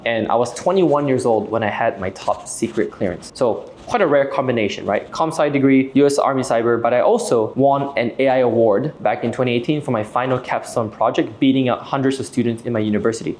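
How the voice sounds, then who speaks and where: A man around thirty from Malaysia giving an explanatory business pitch to camera, direct and persuasive, often checking in with short tags. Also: fairly quick, a little mellow, a little hiss or hum. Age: late 20s to early 30s. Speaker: video host and AI agency owner.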